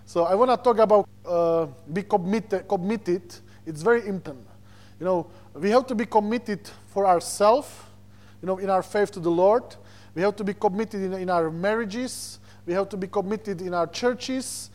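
A man's voice: sits high, darkish tone. A man from Slovakia with a Slovak accent preaches informally through personal stories, searching for words in his second language. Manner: halting, searching for words in non-native English. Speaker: visiting preacher.

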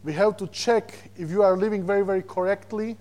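A man from Slovakia with a Slovak accent, preaching a sermon as a guest preacher, animated and repeating words as he searches for them. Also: sits high, brisk. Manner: animated, repeats words when searching, chuckles between points.

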